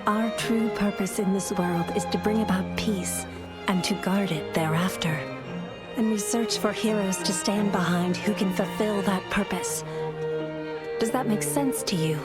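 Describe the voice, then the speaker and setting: A woman voices a calm guardian in a game cutscene. Her medium-pitched voice is principled and instructive with a wry edge, set against loud string music. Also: unhurried, lightly acted. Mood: calm, resolute. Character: composed, principled female guardian, dryly firm. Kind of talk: dramatic video game acting.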